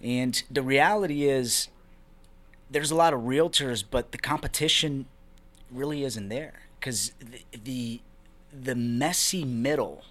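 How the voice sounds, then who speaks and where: A young man from Brazil speaks as a podcast guest, laid-back and conversational. His voice sits at an ordinary middle pitch, and he keeps dropping in casual fillers as he explains things. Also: slow. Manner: relaxed, conversational, many fillers like "man" and "you know". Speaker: podcast guest, real estate investor. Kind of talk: casual interview talk.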